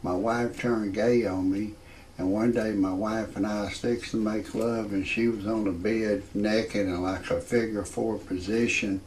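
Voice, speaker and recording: A man in his sixties hosting a parody show, rambling through a comedic monologue in a halting, repetitive, grumbling way with a gravelly voice. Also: fairly low pitch, fairly even intonation, a little mellow, a little hiss or hum, rough recording. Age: 60s. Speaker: host of a parody report show.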